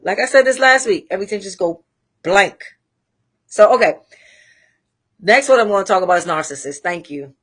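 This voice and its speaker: A woman's voice: mid-range voice, animated, fairly bright tone. Trinidadian woman hosting a candid chat. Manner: candid, rambling, frequent pauses to regroup. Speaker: host. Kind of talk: casual livestream monologue.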